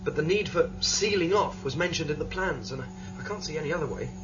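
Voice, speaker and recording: A man playing a calm older escape leader. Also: fairly high pitch, quick pace, lightly acted. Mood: tense. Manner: explaining, hesitant restarts. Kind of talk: radio drama acting. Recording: dull lo-fi radio drama with music.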